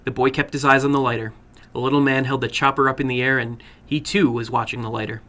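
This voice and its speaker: Bright, forceful man reading suspense fiction. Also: medium pitch, fairly even intonation, fast. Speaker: horror fiction reader and host. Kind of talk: dramatic story narration.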